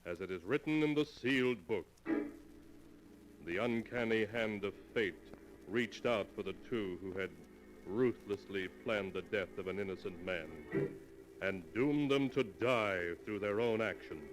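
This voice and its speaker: A man's voice: fairly low pitch, music in the background. A man announcing a 1940s radio mystery show in a theatrical, portentous manner. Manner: portentous, deliberate phrasing, theatrical. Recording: noisy vintage 1940s radio broadcast.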